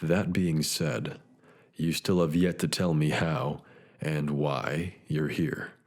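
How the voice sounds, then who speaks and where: An actor playing a brooding, philosophical detective in a scripted future noir drama, speaking in measured, formal phrases. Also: male voice, rumbling low, steady tone, a little mellow, full character voice. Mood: guarded, probing. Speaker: detective character and narrator. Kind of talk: scripted noir drama dialogue and reflective monologue. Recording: long reverb, music behind.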